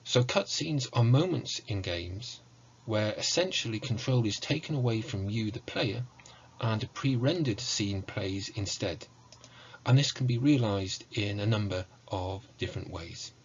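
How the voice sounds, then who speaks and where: A middle-aged man giving a prepared online lecture in a measured, formal, explanatory way. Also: fairly low pitch, animated, a little hiss or hum. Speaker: university lecturer in music. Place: small indoor room with bookshelves. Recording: muffled, dull online recording.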